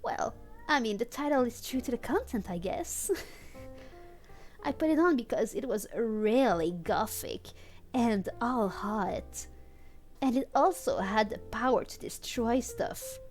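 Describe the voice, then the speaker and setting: A woman's voice: on the high side, lively intonation, slow, darkish tone, soft background music. A woman livestreaming a read-aloud of fan fiction on a headset, playful and mock-dramatic, with amused asides. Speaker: streamer and host.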